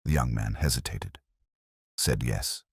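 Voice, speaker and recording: A man narrating a detective story in the first person, in a measured, literary, reflective manner. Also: very deep voice, breathy edge, dry recording, clean studio sound.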